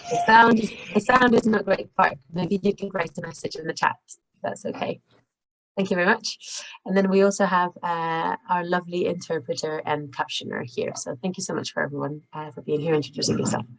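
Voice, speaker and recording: A woman moderating an online webinar, polite and brisk as she calls on each participant. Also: on the low side, steady tone, creaky, low-quality recording. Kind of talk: hosting introductions. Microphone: video call.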